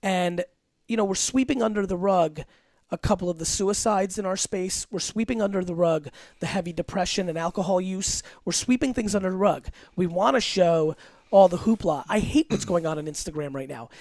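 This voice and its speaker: A man as a guest on an interview podcast, talking about business in a blunt, emphatic, rapid-fire way with some profanity. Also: high voice, darkish tone, dry recording. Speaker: entrepreneur guest.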